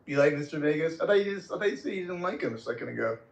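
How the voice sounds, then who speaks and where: A man's voice: on the high side, expressive, racing, gravelly, roomy sound. A man hosting a live online group audio room, moderating casually in a hesitant, conciliatory way.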